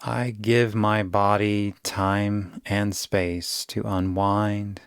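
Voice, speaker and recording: Male meditation guide: gravelly, soothing, unhurried delivery, very dry sound. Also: on the low side, little pitch movement, dry recording. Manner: soothing, unhurried, gentle instruction. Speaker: yoga nidra guide.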